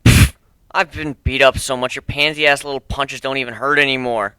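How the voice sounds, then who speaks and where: Man as a sardonic, bright-voiced teenage nerd. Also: medium pitch, fairly even intonation, moderate pace, smooth, no echo, natural voice. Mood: cocky. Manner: sardonic, emphatic. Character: sarcastic, bitter bullied male teenage nerd narrator. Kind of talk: first-person dramatic story reading.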